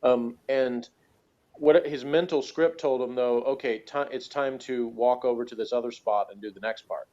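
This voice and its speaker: A man's voice: medium pitch, steady tone, moderate pace, mellow. Rambling man on a dull lo-fi recording. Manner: hesitant, rambling, circling back over phrases. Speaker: podcast co-host reviewing a TV show. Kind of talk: casual unscripted discussion.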